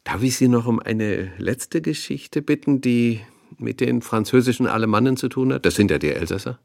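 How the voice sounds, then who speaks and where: A man playing a courteous radio interviewer in a radio play, speaking in a measured, polite way. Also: medium pitch, animated, vocal fry, no echo, clean studio sound. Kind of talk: radio drama acting.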